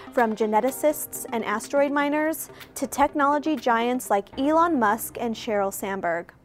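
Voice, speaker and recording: Woman, host, scripted introduction, slightly high pitch, prominent background music. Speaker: series producer and host.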